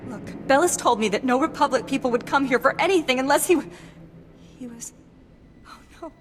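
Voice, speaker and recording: An actress playing an indignant, emotional wife in a video game, scolding and dramatic. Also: female voice, high-pitched, brisk, close to an everyday voice. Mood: grief-stricken. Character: indignant, distraught wife of a secret agent. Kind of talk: video game cutscene acting.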